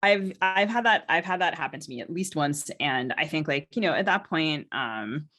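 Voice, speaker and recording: A woman's voice: sits low, animated, normal speed, sharp tone. A woman in a casual podcast conversation, speaking as a novelist and co-host in a hesitant, circling way with many qualifications.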